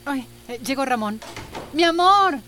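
An actress playing an anxious, flustered wife with a fretful, stammering delivery in a radio soap opera. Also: female voice, high voice, expressive, unhurried, pushed and bright, slight background noise, exaggerated voice. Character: anxious, flustered wife dealing with a leaking house.

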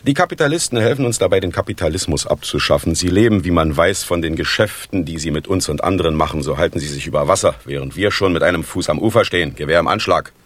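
An actor playing a smug, menacing older East German party official in a radio drama, speaking in a measured, declamatory and falsely courteous way. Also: male voice, low-pitched.

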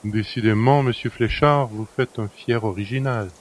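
Actor as a cheeky manservant, insects humming behind. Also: male voice, on the low side, moderate pace, slight background noise, close to an everyday voice. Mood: chatty. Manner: chatty, familiar, mocking. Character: cheeky, familiar manservant who helps himself to master's luxuries. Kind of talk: French audio drama acting.

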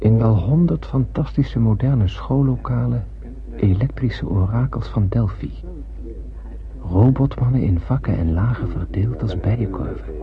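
A man's voice: on the low side, measured pace, crowd noise. Intense spaceman narrator on lo-fi radio. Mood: musing, wry. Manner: musing, theatrical, intense. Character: young spaceman narrator Ishmael, wondering and nervous. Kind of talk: dramatic first-person radio play narration. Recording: narrow lo-fi old radio recording, faint noise.

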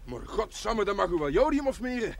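An actor playing a blunt, helpful young village farmer in a television drama, talking colloquially. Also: male voice, sits high, very animated, fairly quick, gravelly, a little mellow, slight background noise, full character voice. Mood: blunt, friendly. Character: plain-spoken, helpful young village farmer with milk cart.

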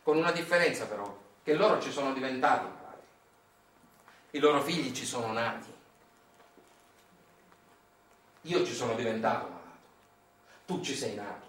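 Forceful, anguished Sicilian countryman, acted by a man. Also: a little high. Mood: grave, sorrowful. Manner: incantatory, emphatic, repetitive phrasing. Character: anguished Sicilian countryman, a grieving, bitter father. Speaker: stage actor performing a monologue. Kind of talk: theatrical monologue, chanted and declaimed. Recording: roomy distant stage recording with music.

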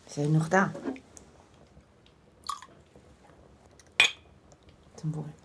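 A woman's voice: fairly even intonation, brisk, background noise. An actress playing a forthright middle-aged widowed landlady in an intimate radio play. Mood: flirtatious. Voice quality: low, husky, creaky. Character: forthright widowed landlady, fond of rum tea.

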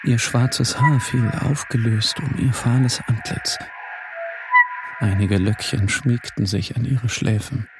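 Male narrator, very slow delivery, solemn, dramatic pauses, literary audiobook, clear music underneath. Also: medium pitch, fairly even intonation. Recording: music behind the voice.

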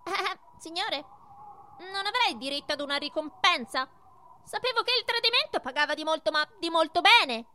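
A woman's voice: very high voice, animated, normal speed, pushed and bright, a little hiss or hum, full character voice. A woman playing a cheeky, whiny little boy made of marble in a radio play, with exaggerated, insolent delivery. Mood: sly, greedy. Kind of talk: theatrical radio play acting.

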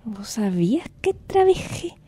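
A woman playing an animated, excitable character in an improvised radio theatre exercise, speaking haltingly. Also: fairly high pitch, slightly rough, no room sound, exaggerated voice. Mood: questioning, animated.

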